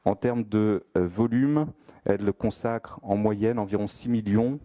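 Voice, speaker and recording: Low, mellow-voiced man presenting figures. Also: measured pace. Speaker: presenter of a study. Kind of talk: formal spoken presentation of statistics.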